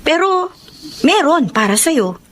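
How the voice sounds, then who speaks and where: An actress playing a persuasive, concerned friend in a Filipino radio drama, coaxing and expressive. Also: female voice, sits high, slow pace, slight background noise, exaggerated voice. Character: persuasive, concerned female friend, lively and insistent.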